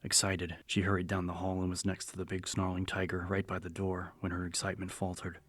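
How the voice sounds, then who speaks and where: A man narrating a scripted horror audiobook in a measured, serious storytelling manner. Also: deep voice, completely flat delivery, fairly bright tone, no room sound. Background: music.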